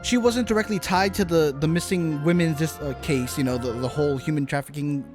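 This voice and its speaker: A man's voice: a little high, brisk, music in the background. A man livestreaming a game, giving casual, informal commentary to his chat with loose, reactive asides.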